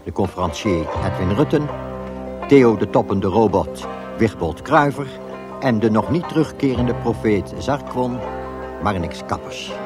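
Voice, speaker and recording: A man reading scripted credits as a radio announcer. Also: lowish voice. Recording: music behind the voice.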